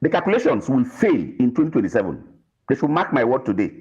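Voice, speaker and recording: A Nigerian man delivers political commentary with big swings in pitch. He is emphatic and repeats phrases to drive them home, and the audio is somewhat dull and lo-fi. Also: sing-song, fast, a little mellow. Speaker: political analyst panel guest.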